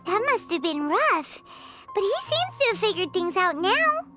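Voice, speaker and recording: Squeaky, extremely high tiny fairy. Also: female voice, very animated, a little slow, full character voice. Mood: sympathetic. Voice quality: squeaky, childlike. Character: tiny, chirpy childlike female fairy companion. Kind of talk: cute video game character acting. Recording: loud background music under the voice.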